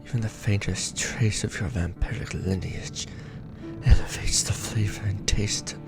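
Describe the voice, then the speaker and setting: A man voicing a weary, tender aristocratic vampire lord in an ASMR romance roleplay, murmuring softly and formally, close to the microphone. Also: on the low side, fairly even intonation, measured pace, close to an everyday voice. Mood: sated, savouring. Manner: soft, breathy murmuring, formal archaic phrasing. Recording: background music bed.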